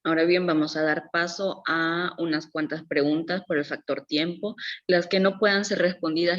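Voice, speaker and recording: A woman politely moderating an online event and handing over to questions. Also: sits low. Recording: dull online call audio.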